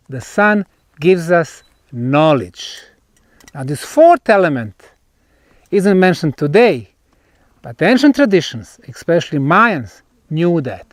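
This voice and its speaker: A man guiding an on-site tour outdoors, explaining in emphatic, deliberate short phrases with pauses. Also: fairly high pitch, no echo, studio quality. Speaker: tour guide and researcher.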